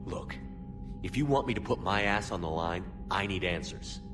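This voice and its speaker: Low-voiced, no-nonsense military commander. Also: male voice, expressive, moderate pace, recorded from a distance, music in the background. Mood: insistent. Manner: firm, direct, serious. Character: steadfast human military commander, no-nonsense hero. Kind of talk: video game cutscene acting.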